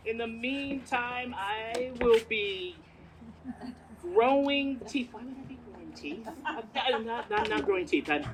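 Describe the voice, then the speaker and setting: A woman playing a bumbling, nervous male radio reporter in a comic stage play outdoors, hesitant and stumbling. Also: fairly high pitch. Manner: hesitant, stumbling, awkwardly filling time. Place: outdoors in a parking lot performance. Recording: outdoor stage with noise behind.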